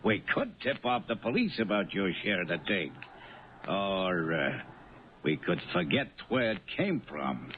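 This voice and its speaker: Male gangster henchman, 1970s radio drama: very low, mock-polite menace, muffled narrow-band recording. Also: big pitch swings. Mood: menacing. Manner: slow, insinuating, mock-polite threats. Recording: muffled phone-like old radio broadcast, hiss.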